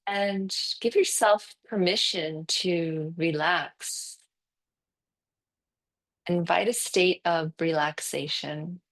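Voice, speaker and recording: A woman teaching guided yoga nidra meditation, speaking calmly and soothingly in short phrases with long pauses between them. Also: fairly low pitch.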